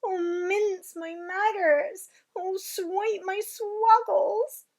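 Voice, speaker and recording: A woman's voice: high voice, expressive, a little slow, darkish tone. A woman voicing a big friendly male giant who mangles his grammar, invents words and bursts into exclamations in a storybook reading. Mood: distressed outcry.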